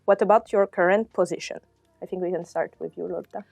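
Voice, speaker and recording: A woman's voice: lowish voice, fairly even intonation, fairly quick, vocal fry, a little mellow, no echo, faint music behind. Woman hosting a podcast, dry sound. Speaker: podcast host.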